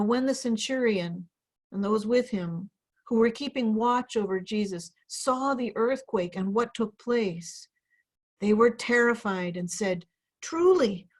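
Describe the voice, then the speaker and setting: Lady pastor reading bible story on video call, voice a bit high. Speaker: Lutheran pastor. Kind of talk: scripture reading.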